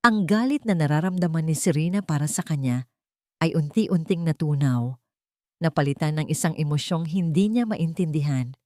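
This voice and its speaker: A woman's voice: low-pitched, moderate pace, dark tone, no echo, clean studio sound. A woman reading a scripted romance story as a dramatic narrator.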